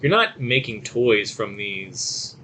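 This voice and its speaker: A man's voice: lowish voice, very animated, slow pace, bright, forceful tone, poor audio. A man co-hosting a podcast, chatting casually and informally, with some background noise. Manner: informal, conversational. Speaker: podcast co-host reviewing movies and shows. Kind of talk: casual chat.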